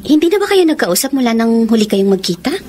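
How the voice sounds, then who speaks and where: A Filipino woman acting a bride's sympathetic confidante in a romantic radio drama, gentle and concerned. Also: fairly high pitch, big pitch swings, fast, a little hiss or hum.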